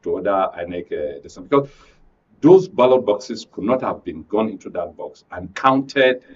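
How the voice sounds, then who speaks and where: A man with a Nigerian accent giving halting, unscripted political commentary as a panel guest. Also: low-pitched, lively intonation, recorded from a distance. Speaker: studio guest, public affairs commentator. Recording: dull, off-mic audio with background noise.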